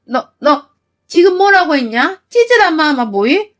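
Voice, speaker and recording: A spiteful, nagging middle-aged mother-in-law, put on by a woman narrator, with a high, piercing voice. She scolds and sneers loudly, her pitch climbing sharply as she rails. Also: big pitch swings, measured pace, full character voice. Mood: indignant. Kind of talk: storytelling with acted voices.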